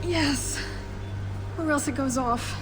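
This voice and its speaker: An actress playing a frightened, earnest captive scientist in a video game. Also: female voice, sits high, slow pace, slight background noise. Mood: shaken, explaining. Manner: anxious, earnest. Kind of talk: video game cutscene acting.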